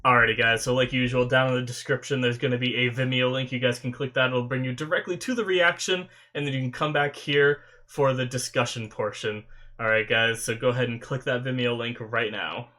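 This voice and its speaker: A man's voice: mid-range voice, brisk, sharp tone. A young man hosting an anime reaction, rambling casually with many fillers while still sniffly and recovering from a sore throat.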